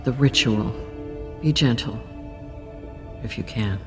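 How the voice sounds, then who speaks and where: An actress playing a solemn, ageless female keeper of death in a horror audio drama, gentle but resolute, with a gravelly, deliberate delivery. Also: extremely low pitch. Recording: faint music underneath.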